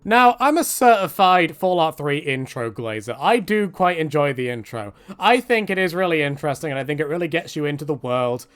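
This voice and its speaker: A man giving casual, rambling video game commentary in an animated way, with jokey exaggeration. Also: a little high, brisk, few pauses, clean tone.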